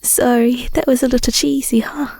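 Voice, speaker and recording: A woman voicing an affectionate, bashful young Scottish werewolf girlfriend in an intimate audio roleplay, soft, whispery and a little hesitant, close on the mic. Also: high voice, steady tone, normal speed, vocal fry, dry recording. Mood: teasing, loving. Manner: hesitant, stammering, murmuring endearments. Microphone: close microphone.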